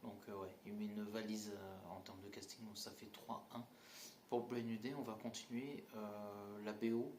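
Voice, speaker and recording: A man's voice: on the low side, fairly even intonation, moderate pace, a little mellow. A man giving a casual film critique, blunt and mocking, with lots of fillers and restarts. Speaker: movie reviewer. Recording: roomy echo, faint ambient noise.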